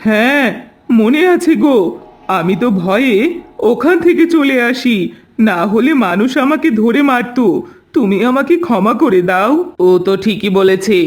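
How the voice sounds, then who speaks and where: A man's voice: high-pitched, natural voice. A man voicing earnest, anxious villagers in a cartoon story, urgent and questioning. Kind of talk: Bengali cartoon story voice acting.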